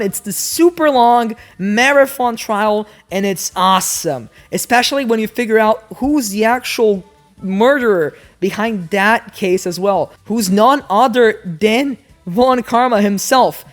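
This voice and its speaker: Animated woman giving casual game commentary. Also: a little high, a little slow, faint music behind. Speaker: video game essayist. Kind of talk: casual video essay commentary.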